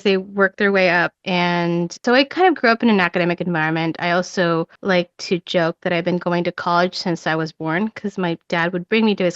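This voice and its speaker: A woman's voice: medium pitch, a bit bright, no room sound, dull audio. A woman in her thirties who grew up in Ecuador, chatting as an interview guest with lots of "like" fillers and easy laughter. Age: 30s. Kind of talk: casual interview chat.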